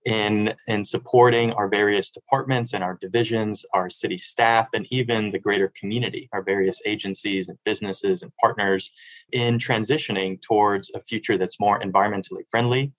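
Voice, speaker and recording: A man's voice: on the low side, steady tone, fairly quick, no echo, low-quality recording. A man in his thirties from Miami, a city sustainability director answering interview questions in an earnest, fluent way with small restarts. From Miami, Florida.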